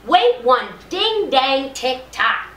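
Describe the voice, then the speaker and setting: A woman's voice: a little high, very animated, moderate pace, fairly bright tone. A woman voicing a bossy, jittery storybook school nurse who speaks urgently and probingly in rhyming slang. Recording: noisy echoey room, far from mic.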